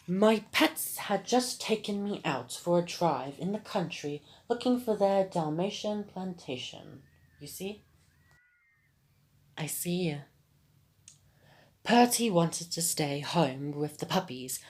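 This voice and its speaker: A woman's voice: on the low side, darkish tone. A woman with a phlegmy, sick throat reading a video game's dialogue for a proper, devoted male Dalmatian father in a plain voice. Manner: reads game dialogue aloud in a plain voice.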